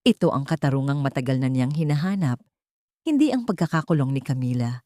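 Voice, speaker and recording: A woman narrating a dramatic story as an audiobook, with measured, sentimental delivery in short phrases. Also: rumbling low, expressive, mellow, dry recording, clean studio sound.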